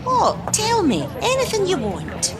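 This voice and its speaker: An amateur actress with a Scottish accent playing a fed-up but kindly woman in a radio play, expressive and full of questions. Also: very high voice, measured pace, noisy background, full character voice. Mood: curious, amused. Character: Mabel, fed-up kindly woman craving a day off.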